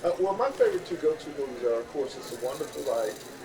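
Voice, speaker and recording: A man speaking as a podcast guest in casual discussion, explaining his points with pauses and fillers. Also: a little high, lively intonation, crowd noise. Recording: distant mic, background voices.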